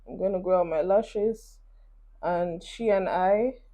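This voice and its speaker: A Nigerian woman in her twenties talks to her followers in a relaxed get-ready-with-me vlog, recorded on a phone. She rambles easily and cheers her viewers on while applying makeup. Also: on the low side, takes it slowly. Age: 20s. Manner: chatty, encouraging, talks while doing makeup. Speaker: beauty vlogger. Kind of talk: casual get-ready-with-me chat to camera. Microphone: phone camera.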